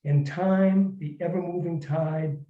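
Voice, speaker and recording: A man speaking as an author at a literary reading, in a reflective, halting manner. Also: fairly high pitch, fairly even intonation. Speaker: author reading and discussing own work. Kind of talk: informal literary talk and story reading to an audience. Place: echoey room, recorded from a distance. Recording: muffled, echoey room, distant microphone.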